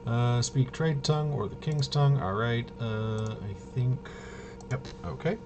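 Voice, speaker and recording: A man hosting a tabletop game walkthrough, thinking aloud in a casual, halting way and muttering to himself. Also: mid-range voice, music in the background. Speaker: host of a tabletop RPG video. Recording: noisy room, clearly audible background noise.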